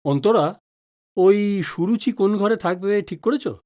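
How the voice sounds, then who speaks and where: A man's voice: high-pitched, measured pace, a little mellow. A man playing a worrying, old-fashioned middle-aged father who grumbles mildly in an audio drama. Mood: grumbling. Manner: grumbling, hesitant, homely. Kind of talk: Bengali audio drama acting.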